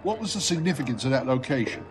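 A man playing a curious, cautious, polite agent in a science fiction audio drama, measured and skeptical. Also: mid-range voice, sing-song, faint music behind, close to an everyday voice.

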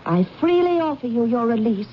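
High-voiced, sorrowful young sweetheart. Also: female voice, sing-song. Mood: sad reproach. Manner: earnest, measured, formal. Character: sorrowful, dignified young woman releasing her fiancé. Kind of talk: 1970s radio drama acting. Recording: dull radio broadcast with faint noise.

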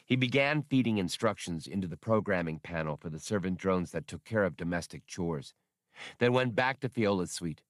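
A man's voice: deep voice, expressive, normal speed, no room sound, clean studio sound. A man reading scripted fiction as an audiobook narrator.

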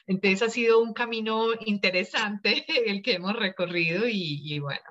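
A woman's voice: medium pitch, normal speed, a bit bright, dull audio. A woman, a business consultant answering interview questions earnestly, laughing easily. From Colombia. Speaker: small business consultant, guest.

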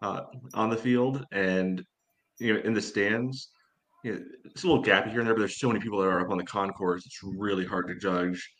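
A man co-hosting a casual sports podcast, speaking in a measured, hedging way with many filler phrases. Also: lowish voice, steady tone, fairly bright tone. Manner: measured, hedging, peppered with "you know".

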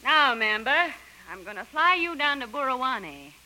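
A woman's voice: sits high, very animated, normal speed, pushed and bright, exaggerated voice, rough recording. A woman playing a domineering, scheming plantation boss, commanding and scolding, in an old radio adventure. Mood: cold, scheming. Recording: hissy old radio recording.

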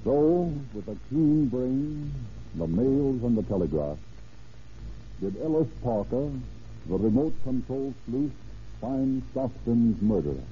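A man's voice: mid-range voice, very animated, takes it slowly. A male narrator delivers formal, declamatory 1930s radio narration. His voice comes through a thin, narrow band with light background hiss. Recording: tinny, hissy old radio recording.

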